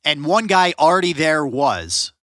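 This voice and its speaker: Give me a male podcast narrator with a lively, bright voice and a fairly high pitch. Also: unhurried, no room sound, clean studio sound. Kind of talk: scripted documentary narration.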